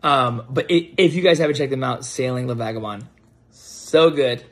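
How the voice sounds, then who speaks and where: An American man in his thirties with a mid-pitched voice tells a personal story in a casual way. He is animated and halting, often repeating a word as he goes. He is talking to a phone at a table in a home kitchen. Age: 30s. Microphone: phone propped on table at arm's length.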